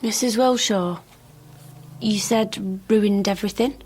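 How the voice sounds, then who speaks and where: An actress playing a composed heir hunter in a radio drama, questioning in a direct, probing way. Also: female voice, medium pitch, slow pace, a little mellow, breathy edge.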